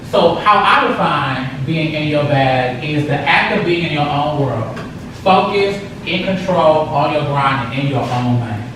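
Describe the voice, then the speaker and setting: A man giving a motivational talk to students in a casual, direct way with slang. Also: fairly high pitch. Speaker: speaker. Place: large echoey hall. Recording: echoey hall from far off, audible noise.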